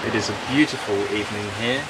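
A man in his fifties giving a candid, relaxed update to a camera held at arm's length on a sailboat. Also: lowish voice, fast talker. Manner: relaxed, candid, laid-back. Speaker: sailing vlogger. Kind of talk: casual chat on camera. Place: outdoors on a sailboat cockpit. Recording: loud wind noise. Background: loud wind.

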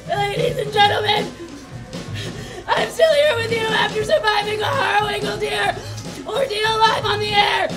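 A woman's voice: very high voice, steady tone, normal speed. A woman playing a terrified radio reporter giving melodramatic eyewitness commentary in an old-time radio drama on stage. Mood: horrified, urgent. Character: terrified female radio reporter witnessing a monster on the loose. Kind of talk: 1920s radio drama acting. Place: dark small theater stage. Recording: live stage show, music and audience behind.

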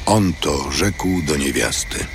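A man narrating scripture from a script in a solemn, measured, formal manner. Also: rumbling low, lively intonation, noisy background. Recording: loud music under studio narration.

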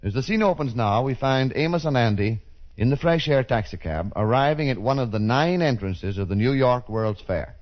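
A man's voice: mid-range voice, lively intonation, normal speed. A man reading scripted announcements as a 1930s radio announcer, with a polished, formal delivery. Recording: dull, lo-fi 1930s radio recording.

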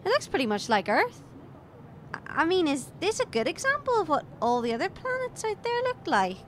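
Woman playing a bright, high-voiced young companion. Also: huge pitch swings, a little fast, heavily acted. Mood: curious. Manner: informal, questioning. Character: curious, kind-hearted young female travelling companion. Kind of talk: audio drama acting. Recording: faint background noise.

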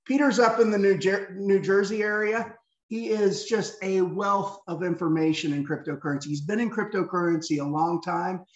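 A man's voice: high-pitched, moderate pace. Plainspoken man from southeast Kansas, roomy and muffled video-call sound. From southeast Kansas, USA. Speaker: team member introducing speaker. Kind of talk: casual introduction.

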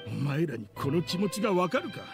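An actor playing a bitter, vengeful middle-aged man in an anime game, speaking with rough, contemptuous, theatrical accusation. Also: a little high, sing-song, a little fast, music in the background. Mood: bitter, brooding. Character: bitter, vengeful man in a grudge-driven confrontation.

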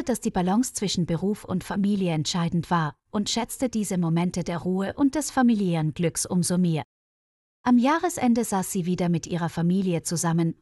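A woman reading a scripted story aloud for language learners, with measured, clearly phrased delivery. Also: on the low side, darkish tone, dry recording, studio quality. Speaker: narrator of graded German stories for learners.

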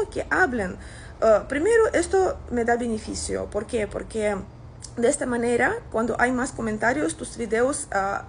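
Russian-accented woman chatting over background noise. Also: fairly high pitch. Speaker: social media content creator. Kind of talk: casual chat to camera, replying to comments. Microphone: phone at arm's length.

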